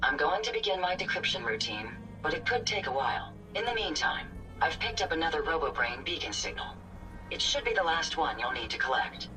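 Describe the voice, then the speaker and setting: A woman playing a polite, analytical female robot companion in a video game, speaking in formal, measured, precise phrases. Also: low voice, big pitch swings. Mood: calm, hopeful. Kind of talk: scripted video game dialogue. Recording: distant sound with game music behind.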